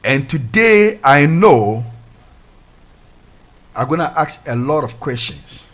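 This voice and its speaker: A man's voice: a little high, sing-song, takes it slowly, fairly bright tone. Fervent man hosting church radio. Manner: fervent, formal, repeats hallelujah. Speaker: pastor and radio host. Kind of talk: interviewing and praying.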